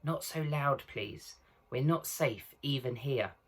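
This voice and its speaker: Man voicing a hoarse, furtive whispering beaver. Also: medium pitch, takes it slowly. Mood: hushed, wary. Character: wary, secretive male beaver, furtive and friendly.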